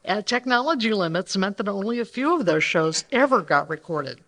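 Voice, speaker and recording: A woman's voice: medium pitch, animated, brisk, a bit bright. A woman co-presenting a live show, giving a semi-scripted introduction in a conversational, explanatory manner. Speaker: co-presenter of a radio re-creation troupe. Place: live stage at a banquet.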